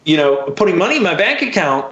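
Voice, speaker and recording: A young American man telling personal stories casually as a podcast guest, in a halting, candid, profane way full of fillers. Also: high-pitched, big pitch swings, a bit bright, reverberant, highs cut off.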